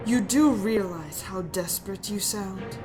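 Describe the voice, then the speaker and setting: Cartoon dragon queen, female actor: deliberate, mock-polite menace, smug and regal, unhurried delivery over soft music. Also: mid-range voice, natural voice. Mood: cold, menacing. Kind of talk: animated fantasy drama acting.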